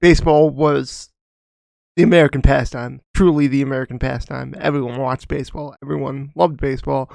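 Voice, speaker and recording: A young man co-hosting a casual podcast, talking earnestly and halting with restarts. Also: medium pitch, steady tone, gravelly, a little mellow, no echo. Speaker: podcast co-host. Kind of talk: casual chat.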